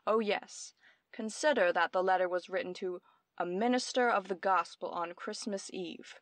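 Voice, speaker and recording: A woman's voice: mid-range voice, normal speed, dry recording, natural voice. An actress playing a brilliant young woman detective, explaining her deductions precisely in old-time radio mystery style. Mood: intent, explanatory.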